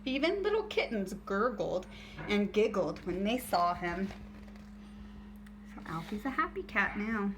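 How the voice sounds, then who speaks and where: A woman teacher reading a picture book aloud to young children in an expressive, deliberate storytelling way. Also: medium pitch, background noise.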